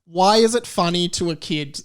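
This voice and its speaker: A man's voice: sits high, moderate pace. A young man hosting a comedy podcast, chatting casually and explaining silly details with mock earnestness. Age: young adult. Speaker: podcast host. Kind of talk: casual comic chat.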